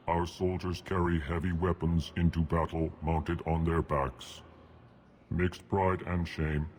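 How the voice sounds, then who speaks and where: A man voicing a huge, solemn alien diplomat in a video game, deadpan and ponderous, naming each emotion before he speaks. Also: very deep voice, a little hiss or hum. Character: huge solemn elcor alien diplomat, pleading for his people.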